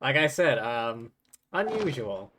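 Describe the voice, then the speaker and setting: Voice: medium pitch, very animated, takes it slowly, a bit bright, a little hiss or hum. A streamer chatting casually and a little hesitantly while playing a game, in an easygoing way. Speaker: streamer, host. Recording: music playing behind the voice. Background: game music.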